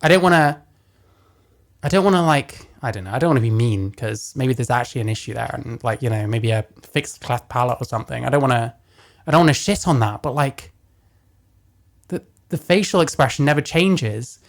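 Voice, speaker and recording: A man with a deeper voice giving casual reaction commentary, blunt and profane as he rants. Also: fairly quick, gravelly. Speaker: commentary host.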